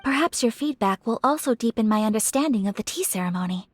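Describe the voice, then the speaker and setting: A woman voicing a refined, gentle young noblewoman in a video game, speaking with formal courtesy and shy affection. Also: on the high side, expressive, fast talker, dry recording. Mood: thoughtful, refined. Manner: formal, polite, softly hesitant phrasing. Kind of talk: scripted video game character voice acting.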